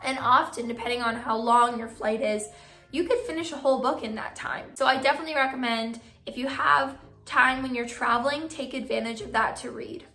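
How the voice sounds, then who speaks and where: A young woman vlogging casually to her viewers, giving friendly reading tips, her voice a bit hoarse from losing it. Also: sits high, bright, forceful tone, roomy sound. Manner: friendly advice-giving, filler "like". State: partly lost voice, hoarse. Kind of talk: casual vlog talk to camera.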